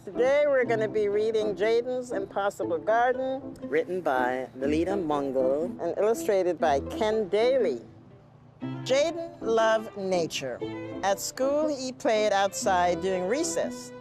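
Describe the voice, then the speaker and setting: A woman's voice: mid-range voice, lively intonation, a little slow. A woman with a mid-range voice speaks to young children as a gardener and storybook reader. She reads aloud in picture-book style, with light music underneath. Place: community garden, outdoors.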